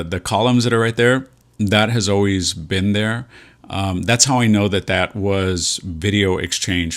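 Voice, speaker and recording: A man with an American accent and a low-set voice talks casually as a vlog host. His delivery is fairly monotone and rambling, with a folksy, nostalgic way of addressing his viewers. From San Antonio, Texas, USA. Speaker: vlog host and real estate agent. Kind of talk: casual reminiscing walk-and-talk vlog.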